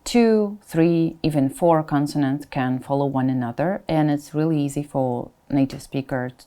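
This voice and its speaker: A woman teacher giving a pronunciation lesson, explaining to learners in an encouraging way and sounding out example words deliberately. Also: low-pitched, dark tone. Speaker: Russian language teacher.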